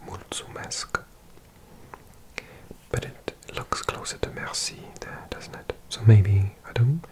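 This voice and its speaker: A person giving an ASMR language lesson in a breathy whisper, softly repeating words in an unhurried way. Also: medium pitch, low-quality recording. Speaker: language teacher.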